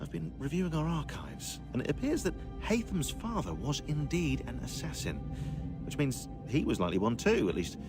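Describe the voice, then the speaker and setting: An actor playing a dry, witty, bookish British researcher in a video game, speaking articulately and wryly. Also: male voice, a little high, huge pitch swings, moderate pace, loud music behind the voice. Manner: wry, articulate. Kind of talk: naturalistic video game acting.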